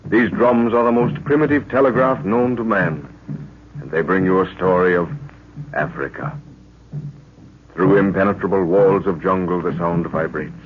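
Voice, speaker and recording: A man's voice: low-pitched, fairly even intonation, moderate pace, slight background noise. A man narrating an old radio adventure serial as announcer, with portentous, theatrical phrasing. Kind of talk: dramatic 1940s radio scripted narration. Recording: muffled old radio recording.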